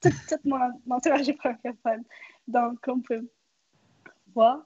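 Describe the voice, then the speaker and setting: A young woman from northwest New Brunswick with a Québécois-like French accent. Her voice is husky and fairly high, recorded dry and dull. She talks in a chatty, easygoing way. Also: fairly even intonation, measured pace, a little mellow, no room sound. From northwest New Brunswick, Canada. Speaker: guest. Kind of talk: casual conversational chat.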